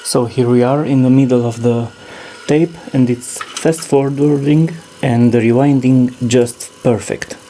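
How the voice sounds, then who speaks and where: A man talks through a repair casually, thinking aloud as he goes. His delivery is slow and halting, with a soft-edged, mellow tone at a middling pitch. There is faint noise behind him. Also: fairly even intonation, poor audio. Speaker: host demonstrating a cassette repair. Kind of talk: casual hands-on repair narration.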